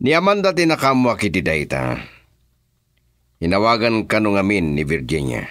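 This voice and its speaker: A man's voice: on the low side, sing-song, normal speed, a bit bright, no echo, clean studio sound. An actor playing a bossy, impatient man of power in a radio drama, with an Ilocano Filipino accent. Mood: stern.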